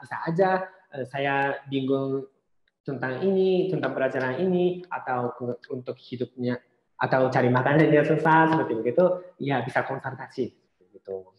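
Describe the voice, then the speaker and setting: A man giving an informal online seminar presentation as a speaker on studying abroad, talking in a halting, reassuring way with frequent hesitant pauses. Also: medium pitch. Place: indoors, online seminar call. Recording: muffled, roomy online call audio.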